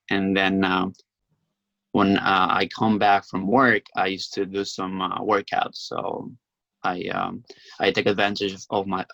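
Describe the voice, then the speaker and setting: A young man from Romania answering exam questions in a halting way, with frequent ums. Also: low-pitched, a bit bright, no room sound, poor audio. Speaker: exam candidate.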